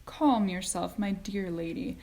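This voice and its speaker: A woman voicing an eccentric, gallant male chocolate maker, brisk and unflappable, in a storybook reading. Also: medium pitch, mellow. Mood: calm, unflappable.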